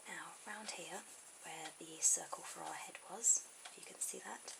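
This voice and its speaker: A woman softly whispering an ASMR drawing tutorial in a breathy, informal way, pausing mid-thought. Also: lowish voice. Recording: very noisy amateur camera audio.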